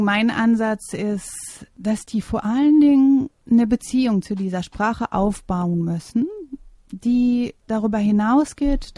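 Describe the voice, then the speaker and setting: Thoughtful middle-aged woman, mellow voice. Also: fairly high pitch, no room sound. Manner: thoughtful, measured, with hesitations. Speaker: interviewee, German teacher. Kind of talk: conversational interview answers.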